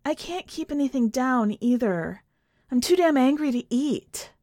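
A woman's voice: sits high, animated, measured pace, darkish tone, dry recording, studio quality, pitched above the performer's own voice. A woman voicing a tired, bitter and defiant middle-aged woman in an audio story. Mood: bitter, needling.

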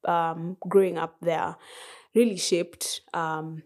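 A young woman in her twenties with a Kenyan accent, a podcast guest chatting casually, soft-spoken with frequent laughs and hesitations. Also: lowish voice, level tone, slow. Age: 20s. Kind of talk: casual interview chat.

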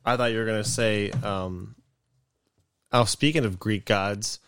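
A man's voice: fairly low pitch, fairly even intonation, a little slow, fairly bright tone, smooth, no echo. A young man co-hosting a casual comedy podcast, rambling and dry-joking, often stopping and restarting mid-sentence. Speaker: podcast co-host. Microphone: desk mic with cameras.

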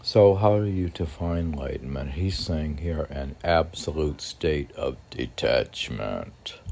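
Very deep, creaky-voiced man reading poetry. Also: darkish tone, a little hiss or hum. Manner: meandering, halting, muses aloud with rhetorical questions. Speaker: poet reading own poems. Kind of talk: informal poetry reading with spontaneous commentary.

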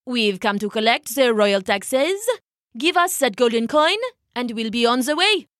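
A woman voicing a smooth-talking royal tax collector in a children's story, officious and coaxing with false cheer. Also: fairly high pitch, lively intonation, extremely slow, dry recording, clean studio sound.

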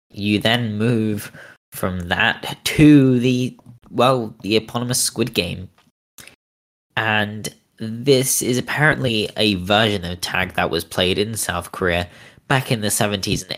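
A man's voice: lowish voice, fairly bright tone. A man co-hosting a podcast, recapping a TV series in a casual, hesitant way with many restarts. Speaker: podcast co-host.

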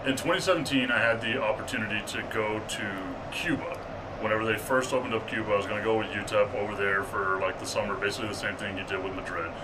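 A deep-voiced man from El Paso hosting a casual interview, joking and self-deprecating. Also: steady tone, fast talker, recorded from a distance, noisy background. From El Paso, Texas. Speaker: interviewer, host.

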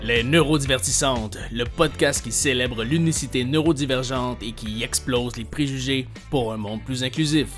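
A man reads a scripted podcast intro in a punchy, promotional tone. His voice is at a middle pitch, and soft guitar music plays underneath. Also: moderate pace, few pauses. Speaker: intro announcer.

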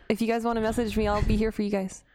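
A woman's voice: mid-range voice, level tone, darkish tone, no echo. A woman from Canada co-hosting a podcast, chatting casually and giggling often. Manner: giggly, quick. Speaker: podcast co-host. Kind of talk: casual chat.

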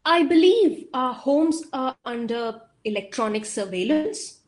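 A woman playing a suspicious, earnest scientist in an audio drama, speaking in a deliberate, measured way. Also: high voice, animated. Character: suspicious, earnest female scientist, quietly insistent.

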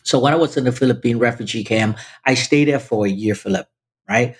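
A man's voice: medium pitch, fairly quick, slightly rough. A man in his fifties from Vietnam, a native Chinese speaker, telling his story emphatically as a podcast guest and often addressing the host directly. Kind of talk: personal storytelling in conversation.

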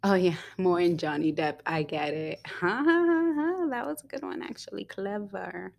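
A young woman co-hosting a casual podcast, talking in a rambling way with many fillers and quick giggles. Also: mid-range voice, gravelly. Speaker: podcast co-host.

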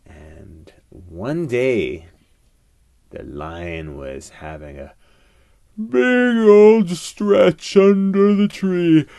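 A male storyteller improvising a bedtime tale for children. He takes his time, with very long, slow phrasing and hugely varied pitch, sometimes hushed, in a dry, close sound. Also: no room sound. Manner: animated, playful, with dramatic pauses and hushed moments.

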